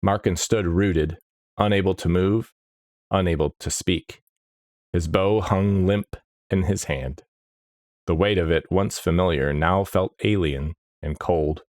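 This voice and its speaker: Male audiobook narrator for dark fantasy; unhurried, grave, measured delivery. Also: sits low, no room sound, clean studio sound.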